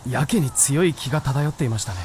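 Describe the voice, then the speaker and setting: An actor playing a fox spirit disguised as a young merchant, polite, wry and easily flustered, in a period radio drama. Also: male voice, medium pitch, animated, fast talker, a little mellow, slight background noise, close to an everyday voice. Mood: wry, reflective.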